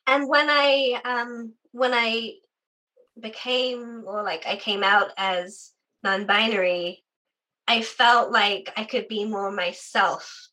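Interview guest, young adult, from the UK, chatty with filler words, bright clear tone, sore voice. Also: female voice, on the high side, steady tone, takes it slowly, rough recording. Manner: chatty, frequent "like" fillers, laughs often. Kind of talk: casual interview conversation.

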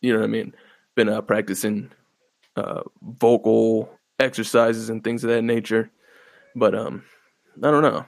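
A man hosting his own podcast, rambling in a laid-back, profane way with a fairly monotone voice and lots of fillers. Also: on the low side, unhurried, creaky, pauses often, no room sound. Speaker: podcast host. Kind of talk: casual solo podcast commentary. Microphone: close podcast microphone.

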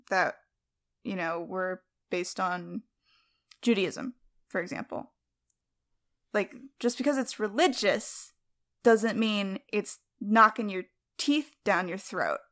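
A woman's voice: medium pitch, slow, a bit bright. Woman in casual podcast conversation. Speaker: podcast co-host. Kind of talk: casual discussion.

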